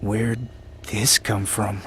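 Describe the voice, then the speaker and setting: An actor playing a reluctant young warrior in a video game, dry, offhand and sardonic. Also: male voice, lowish voice, flat delivery, very slow, a little hiss or hum. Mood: curt, puzzled. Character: young male reluctant warrior hero, cynical and laconic. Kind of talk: video game cutscene acting.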